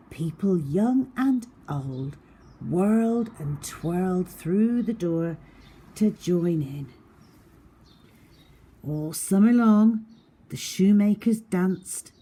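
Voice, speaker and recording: Slow, animated woman reading to children. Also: fairly low pitch, slightly rough. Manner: addresses young children simply and directly. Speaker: teacher reading stories to young pupils.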